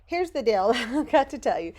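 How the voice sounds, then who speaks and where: A middle-aged woman talks through her craft project in a loose, rambling way, poking fun at herself as she goes. Her voice is hoarse and worn, close to giving out. She sounds like she's chatting with friends from a cluttered home studio. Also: on the high side, very animated, moderate pace, a little mellow. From Louisiana. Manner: chatty, rambling, self-deprecating. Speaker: craft channel host. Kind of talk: casual crafting narration.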